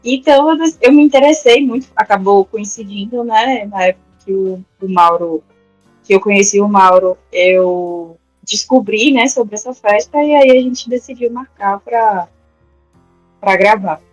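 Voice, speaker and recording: A woman's voice: mid-range voice, measured pace, no room sound, soft background music, poor audio. A woman guest on a podcast who teaches and does research, explaining casually with many fillers and restarts. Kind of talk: casual conversational interview. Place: resident of a neighborhood in Salvador, Brazil.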